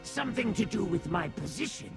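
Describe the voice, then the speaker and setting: A man voicing a small cursed demon in a video game, servile yet boastful, with a gravelly croak and theatrical delivery. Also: high voice, sing-song, brisk, over loud music, exaggerated voice. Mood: scornful, cautionary. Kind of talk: video game character acting.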